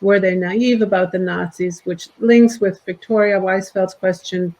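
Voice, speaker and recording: A woman's voice: mid-range voice, steady tone, measured pace, a little mellow. Woman moderating an audience Q&A. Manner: polite, reads out audience questions.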